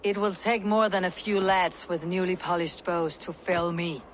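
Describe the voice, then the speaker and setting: Video game Viking heroine played by a woman: tough, low-pitched, terse and dry, over faint background ambience. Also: flat delivery, normal speed, a little hiss or hum, close to an everyday voice. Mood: grim, confident. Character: tough, self-assured Viking warrior woman, blunt investigator. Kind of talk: scripted video game cutscene acting.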